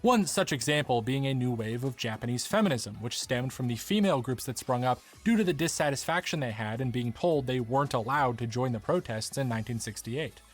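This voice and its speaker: A man's voice: medium pitch, animated, brisk, hardly any pauses, faint music behind. A man narrating a scripted explanatory video essay, with wry, humorous asides.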